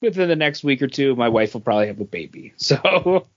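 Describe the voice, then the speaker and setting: A man's voice: mid-range voice, lively intonation, brisk. A man co-hosting a podcast in a casual, conversational style. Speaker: podcast co-host. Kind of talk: casual chat. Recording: dull, lo-fi podcast audio.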